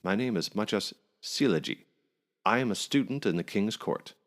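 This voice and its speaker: A man's voice: fairly low pitch, animated, moderate pace, voice pushed up, lightly acted. A man voicing a courteous, mild young male student in a storybook folk tale.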